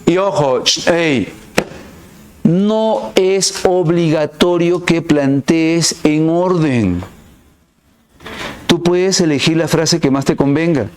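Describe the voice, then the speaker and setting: A male math teacher explaining a problem step by step, asking rhetorical questions and stressing key words with big swings in pitch. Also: takes it slowly, a little mellow, a little hiss or hum. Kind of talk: recorded online class.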